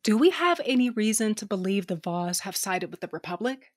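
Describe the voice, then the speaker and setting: A woman's voice: mid-range voice, animated, a little fast, no echo, studio quality, natural voice. Woman as a blunt, tough smuggler captain. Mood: demanding, guarded. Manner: blunt, commanding, salty. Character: tough, shrewd female smuggler captain, guarded and determined. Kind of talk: audio drama acting and first-person narration.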